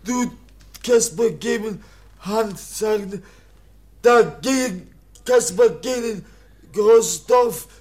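An actor playing a childlike young foundling who barely speaks, halting and repeating single words in broken speech in a radio play. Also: male voice, sits high, a little mellow. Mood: insistent. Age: young adult. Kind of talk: German radio play acting.

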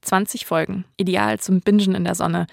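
A woman's voice: mid-range voice, fairly even intonation, normal speed, creaky, dry recording, clean studio sound. A woman, hosting a podcast and narrating from a script. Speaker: podcast host.

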